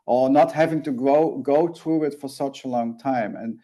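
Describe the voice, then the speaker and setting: Earnest Belgian man, mellow voice. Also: medium pitch. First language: Dutch. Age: 40s. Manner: earnest, halting with frequent restarts. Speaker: guest. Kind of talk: interview, personal story.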